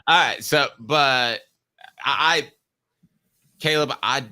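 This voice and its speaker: A man's voice: mid-range voice, slow, pushed and bright, a little hiss or hum. A man in his fifties hosting a sports talk show, chatty and jokey, asking long questions of his co-host. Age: 50s.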